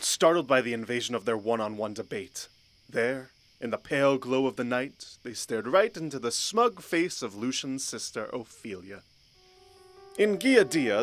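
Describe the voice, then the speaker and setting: A man with a mid-pitched voice narrates a scripted fantasy story. Faint music plays behind him. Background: faint background music.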